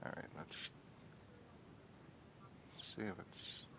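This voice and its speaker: A man's voice: takes it slowly, background noise. Man muttering over a tinny line. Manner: muttering, swears at technical trouble. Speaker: stream host. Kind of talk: casual live stream chat. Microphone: desktop mic. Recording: narrow phone-like sound.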